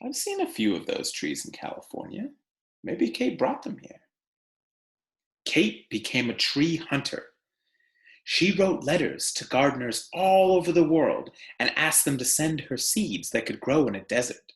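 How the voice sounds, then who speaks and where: A man reads a children's book aloud in the manner of a schoolteacher. His pitch moves up and down in a lively way, and he takes his time, setting phrases apart with emphasis. The room adds an audible echo around his voice. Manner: deliberate, emphatic phrasing with rhetorical questions for young listeners. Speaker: teacher reading a picture book aloud to students. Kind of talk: expressive read-aloud with conversational asides.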